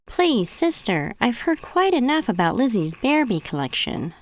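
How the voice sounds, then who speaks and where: A woman's voice: a little high, very animated, normal speed, no room sound. A firm, long-suffering mama bear from a children's storybook , read by a woman. Her motherly voice moves expressively up and down, in a close, dry sound. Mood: weary, reasoning. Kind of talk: children's storybook character reading.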